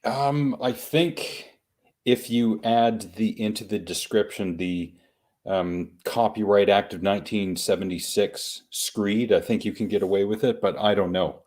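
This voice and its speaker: Lower-voiced dude on a stream, slow thinky explaining, lots of ums. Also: male voice, steady tone, a little mellow. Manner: measured, explanatory, with many "um"s. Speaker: podcast co-host. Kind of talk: casual chat on a live stream.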